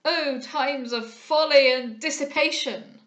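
A woman voicing an old male moralist who denounces luxury in loud, theatrical declamation in an audiobook. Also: sits high, takes it slowly, roomy sound, pitched above the performer's own voice. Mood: indignant, declaiming. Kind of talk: dramatised audiobook character voice.

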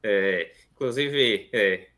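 A man's voice: on the low side, drawn-out words. A young man in his twenties from São Paulo with a São Paulo accent, hesitantly telling his story on a video call from a small plain room. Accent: São Paulo Portuguese. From São Paulo, Brazil. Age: 20s. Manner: soft-spoken, hesitant with many fillers. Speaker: seminarian guest. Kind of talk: casual interview, recounting his story. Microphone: video call webcam.

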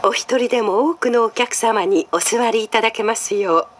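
A woman's voice: on the high side, moderate pace. A woman reading scripted train announcements in a polite, formal, carefully enunciated way, played over a monorail car's speakers. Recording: train cabin noise behind announcement.

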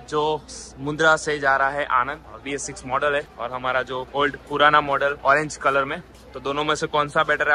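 A man's voice: fairly high pitch, pushed and bright, slight background noise. A man narrating a travel vlog outdoors at bus stations at night, pointing things out to viewers he calls friends. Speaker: travel vlogger.

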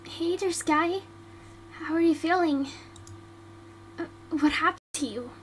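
A weary female witch healer who talks slowly and bluntly, voiced by a woman. Her voice sits high, with a faint hum under the recording. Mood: firm.

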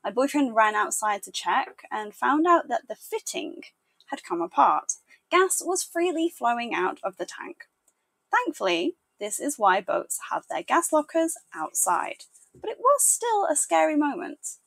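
A woman's voice: sits high, very animated, unhurried, creaky, a bit bright, no room sound. A woman in her thirties narrating a sailing vlog, telling the week's story with upbeat asides. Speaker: sailing vlogger. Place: boat cabin with wooden panels.

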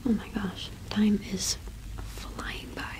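A woman in her twenties whispers in a soft ASMR roleplay as a friendly cottage witch. Her pitch is average for a woman, and she takes her time, with small hesitant pauses. The delivery is intimate and close to the microphone. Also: level tone. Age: 20s. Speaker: ASMR performer playing a cottage witch shopkeeper.

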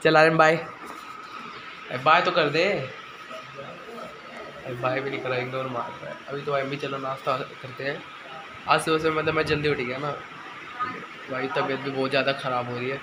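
A hoarse teenage boy with a sore throat, chatting casually to his viewers in a home vlog filmed on a phone held at arm's length. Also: medium pitch, steady tone, moderate pace, long pauses, noisy background. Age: teens. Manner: chatty, filler-heavy, addresses viewers as "bhai" and "guys". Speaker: vlogger. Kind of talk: casual day-in-the-life vlog. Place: small home rooms indoors. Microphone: phone camera held at arm's length.

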